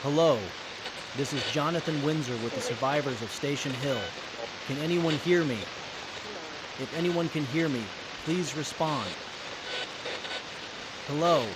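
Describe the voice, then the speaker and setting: A man acting as an earnest, capable young survivor with a measured, methodical manner in a post-apocalyptic audio drama. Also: on the high side, heavy background noise, lightly acted. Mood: hailing.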